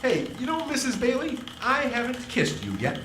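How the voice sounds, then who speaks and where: A man's voice: very high-pitched, very animated, normal speed, background noise. A man playing an impassioned, headstrong young small-town man in a live radio play. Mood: elated. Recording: roomy live stage recording.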